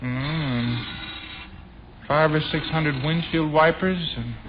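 An American actor in a 1950s radio sitcom, playing an excitable neighbor full of silly schemes, chuckling and musing as he talks. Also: on the high side, slow pace. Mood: excited. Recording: muffled noisy old radio recording.

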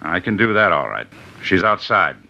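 A steady, probing district attorney, his voice sitting on the low side. The line has the dull, muffled sound of an early-1950s radio crime drama recording. He keeps his interrogation firm and unhurried. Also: creaky, full character voice. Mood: stern. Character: stern male district attorney grilling a suspect.

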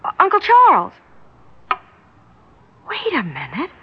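A woman's voice: extremely high pitch, wildly swooping pitch, slow, heavily acted. An actress in a 1960s radio drama playing an affectionate, playful young niece with a chatty, girlish manner. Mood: anxious, coaxing. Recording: muffled, hissy 1960s radio recording.

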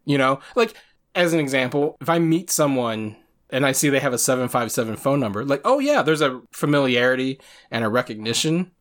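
A man's voice: mid-range voice, lively intonation, brisk, fairly bright tone. A man from Virginia co-hosting a podcast, chatting casually, reflective and teasing, and laughing often. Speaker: podcast co-host. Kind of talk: casual conversation.

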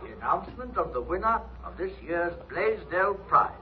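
Grand, animated male announcer. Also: high-pitched, moderate pace. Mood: grand, ceremonious. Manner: pompous, formal. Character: grandiose male master of ceremonies announcing a prize. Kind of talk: 1950s radio announcing. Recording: dull, noisy old radio broadcast.

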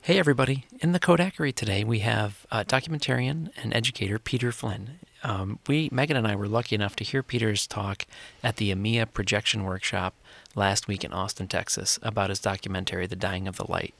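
A man's voice: fairly low pitch, gravelly, a bit bright. A man co-hosting a podcast, chatty and full of fillers as he introduces the guest. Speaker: podcast co-host. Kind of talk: podcast interview.

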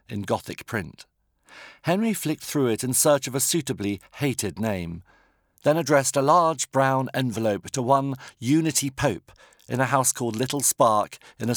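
A man's voice: mid-range voice, animated, normal speed, dry recording. British man narrating, dry close sound. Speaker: author reading own novel. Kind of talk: audiobook narration of comic fiction.

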